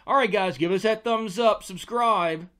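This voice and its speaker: A man's voice: high-pitched, measured pace, fairly bright tone. Casual middle-aged American man reviewing gear. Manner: informal, conversational. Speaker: host, fishing lure reviewer. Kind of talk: casual product review to camera. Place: small indoor room with tackle-covered walls. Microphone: desk microphone beside him.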